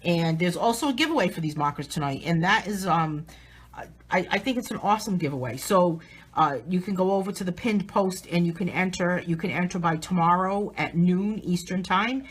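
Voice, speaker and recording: A woman's voice: lowish voice, moderate pace, slightly rough. A woman with a Southern New England accent, chatting casually as she hosts a live coloring demo. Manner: chatty, upbeat, restarts often. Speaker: artist and livestream host.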